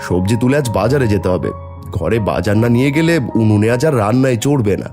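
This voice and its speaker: A man's voice: fairly low pitch, animated, fast talker, warm tone, faint music behind. A man voicing an affectionate, hard-pressed village farmer and father in a cartoon story, talking in a fond, coaxing way. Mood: practical, reflective.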